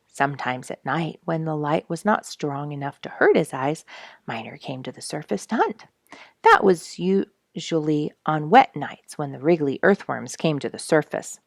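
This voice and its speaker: A woman reading a children's story aloud with expressive, emphatic storytelling. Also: low voice, vocal fry, dry recording, studio quality. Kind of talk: read-aloud storybook narration.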